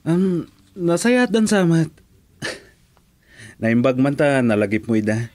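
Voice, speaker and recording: A man's voice: mid-range voice, slow, darkish tone, no room sound, natural voice. A man acting a mild-mannered, courteous friend in an Ilocano radio drama. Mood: friendly, uneasy.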